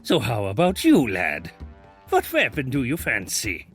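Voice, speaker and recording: An actor playing a hearty, kindly bearded dwarf blacksmith in a fantasy audio drama, with a jovial, avuncular, theatrical manner. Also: male voice, medium pitch, huge pitch swings, measured pace. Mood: helpful. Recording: music under the voice.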